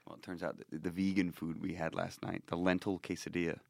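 A man's voice: deep voice, flat delivery, a little fast, gravelly, no room sound, clean studio sound. A man hosting a podcast in casual chat, a bit nasal from a cold, rambling and restarting his sentences with jokes. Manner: rambling, self-interrupting, joking. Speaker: podcast host.

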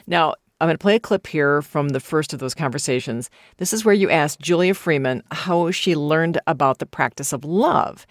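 A woman's voice: sits low, no echo, clean studio sound. A woman with an American accent, hosting a broadcast interview. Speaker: radio host interviewing a guest.

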